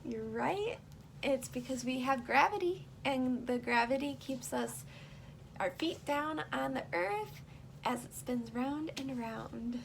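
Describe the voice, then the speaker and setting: A woman reading a rhyming picture book aloud to young children, pausing to explain and put simple questions to her listeners. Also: sits high, animated, vocal fry, slight background noise.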